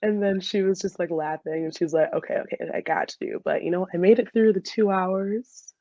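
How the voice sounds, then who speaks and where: A woman's voice: lowish voice, brisk, mellow, no echo. A woman, chatting casually and informally as an interview guest on a dull recording. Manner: chatty, frequent laughter and filler "like". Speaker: podcast guest, soccer player and dental student.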